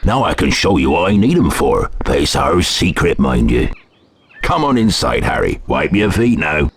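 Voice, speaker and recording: An actor playing a big, gruff, kindly groundskeeper in a video game. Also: male voice, very deep voice, sing-song, moderate pace, slight background noise, exaggerated voice. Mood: warm, proud. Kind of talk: video game character acting.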